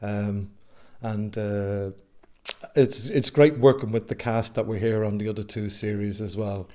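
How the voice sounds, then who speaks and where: Halting actor, lowish voice. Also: male voice, steady tone, warm tone. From Dublin, Ireland. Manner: halting, many filler sounds. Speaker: actor being interviewed. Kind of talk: casual interview.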